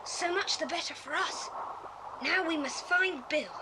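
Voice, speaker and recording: A woman playing an excitable young British schoolboy in a children's audio adventure drama. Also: extremely high pitch, measured pace. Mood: hushed, cautious. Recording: noisy old cassette story tape.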